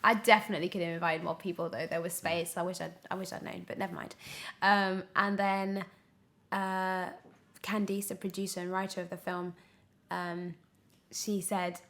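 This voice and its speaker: A woman's voice: on the low side, flat delivery, moderate pace, a bit bright. A British woman in her twenties giving a casual podcast interview as a young actor, emphatic and often breaking off to restart her thought. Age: 20s.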